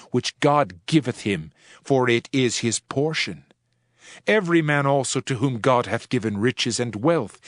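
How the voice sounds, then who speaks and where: An actor playing a solemn, reflective preacher of ancient wisdom, reading scripture in a measured, declamatory way for a dramatized audio Bible. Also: male voice, medium pitch, dry recording, studio quality, natural voice. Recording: music under the voice. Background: background music.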